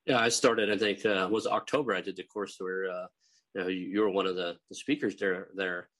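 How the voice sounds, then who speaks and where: A man's voice: low-pitched, fairly even intonation, a little fast, a bit bright. A middle-aged man from Oklahoma with a light Oklahoma accent, hosting a casual interview podcast and rambling with chuckles and fillers. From Oklahoma, USA. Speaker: podcast host.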